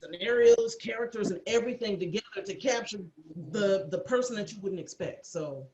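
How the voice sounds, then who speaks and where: A woman chatting casually on a video call, telling anecdotes in an earnest, halting way. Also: mid-range voice, darkish tone, a little hiss or hum. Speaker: audio editor and cast crew member. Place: indoor room on a video call. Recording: echoey room over video call.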